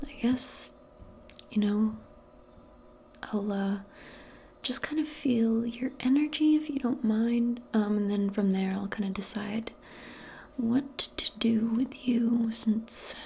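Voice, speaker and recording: A woman playing a casual, gently awkward modern witch in a soft-spoken ASMR roleplay, speaking hesitantly in a breathy, often whispered voice. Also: a little high, takes it slowly, slight background noise. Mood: friendly, hesitant. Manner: hesitant, murmuring, conversational. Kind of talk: ASMR personal-attention roleplay.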